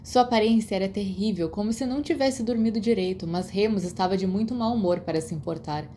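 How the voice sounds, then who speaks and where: Female audiobook narrator, Brazilian accent, warm dark timbre, scripted fiction, light background noise. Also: medium pitch, quick pace.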